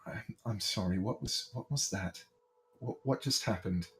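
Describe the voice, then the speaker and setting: A man's voice: fairly low pitch, flat delivery, a little slow, lightly acted. A man playing a stammering, flustered baron. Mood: bewildered. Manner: stammering, hushed, bewildered. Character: flustered, nervous male noble, a baron.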